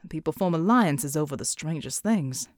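Woman voicing a low, folksy male commander. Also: lively intonation, no room sound. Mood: wry, weary. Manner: folksy, dry, unhurried. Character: older male commander, wry and fatherly, weary.

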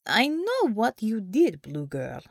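A woman's voice: medium pitch, wildly swooping pitch, slow pace, creaky, no room sound, studio quality, full character voice. A woman voicing a brash, commanding Russian woman commissar in an audiobook, with a Russian accent and blunt, non-native English phrasing. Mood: accusing.

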